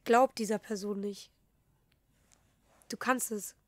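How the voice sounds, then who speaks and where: A woman's voice: mid-range voice, steady tone, takes it slowly, dry recording, studio quality. A young woman, a professional football player, talking as a podcast guest in a reflective, hesitant way, searching for words. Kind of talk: casual interview chat.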